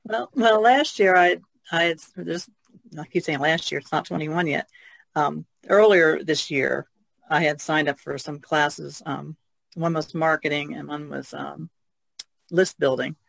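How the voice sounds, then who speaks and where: Woman with heavy fry, chatting. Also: low voice, measured pace, no room sound. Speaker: artist, podcast panelist. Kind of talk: casual chat.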